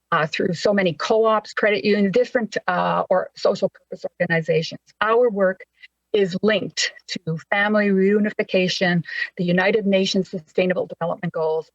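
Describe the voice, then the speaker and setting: A woman's voice: medium pitch, dry recording. A woman giving a formal prepared presentation with slides on a video call, stumbling now and then over words. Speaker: panel presenter. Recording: dull lo-fi video call audio.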